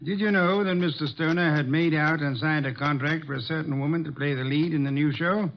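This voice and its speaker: A man's voice: a little high, fairly quick, natural voice. A man playing a genius detective in an old-time radio drama, formal and precise as he questions suspects. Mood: probing, formal. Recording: dull lo-fi old radio recording.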